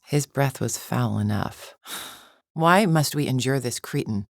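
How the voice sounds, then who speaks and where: Very deep-voiced haughty warrior champion. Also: female voice, lively intonation, slow pace, no echo, clean studio sound, full character voice. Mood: scornful briefing. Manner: cold, formal, imperious, sneering diction. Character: haughty, contemptuous alien female warrior champion. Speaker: video game voice actor. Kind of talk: scripted video game character acting.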